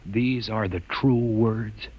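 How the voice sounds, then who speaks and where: An actor playing a bold, impetuous young man who questions and challenges forcefully, in 1950s radio drama style. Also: fairly low pitch, slow pace, highs cut off, loud music behind the voice. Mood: probing, troubled. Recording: echoing, hissy vintage radio broadcast, band-limited.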